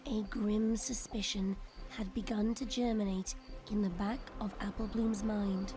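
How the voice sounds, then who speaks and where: A woman narrates an audio drama in a scripted, dramatic storytelling style. Her voice sits at a medium pitch, with clearly audible music playing underneath. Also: level tone, unhurried, dark tone, low-quality recording.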